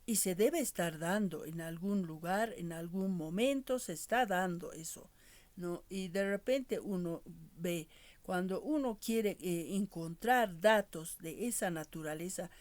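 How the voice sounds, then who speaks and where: A woman giving a class lecture in a measured way, often ending her points with tag questions to her students. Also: lowish voice, darkish tone.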